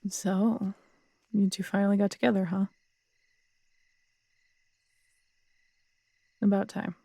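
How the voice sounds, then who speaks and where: A woman's voice: medium pitch, level tone, moderate pace, vocal fry, warm tone, slightly rough, no room sound. A woman playing a feisty, teasing, proud police detective in an intimate roleplay audio drama. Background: crickets at night.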